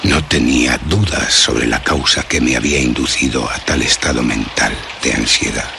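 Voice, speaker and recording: A man's voice: rumbling low, normal speed, gravelly, noisy background, full character voice. A man playing an anxious philosopher who recounts a night of terror in a solemn, literary, suspenseful radio drama narration. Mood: uneasy, reflective. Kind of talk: dramatic first-person horror radio narration.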